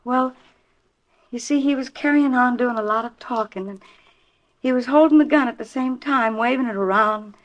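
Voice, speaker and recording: An actress playing an anxious, pleading wife in a 1950s radio drama, speaking hesitantly and earnestly. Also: female voice, on the high side, moderate pace, close to an everyday voice. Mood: anxious, insistent. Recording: dull vintage 1950s radio recording.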